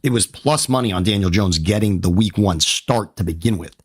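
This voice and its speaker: A man co-hosting a sports talk show, excitable and emphatic, hyping up what he sees while straining his voice. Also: lowish voice, a little fast, slightly rough, dry recording, clean studio sound. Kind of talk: excited sports analysis chat.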